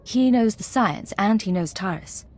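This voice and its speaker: Composed female secret agent, played by a voice actress, measured, businesslike, steady, sci-fi game dialogue. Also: medium pitch, natural voice. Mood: cool, businesslike. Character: composed undercover Imperial agent woman, professional and steady. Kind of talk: video game voice acting.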